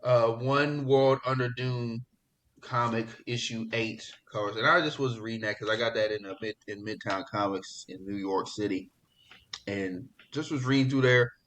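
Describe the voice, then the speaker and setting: A man hosting a podcast in casual pop culture chat, talking in an excitable, slangy, profane way. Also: mid-range voice, moderate pace, rough recording. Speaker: podcast host.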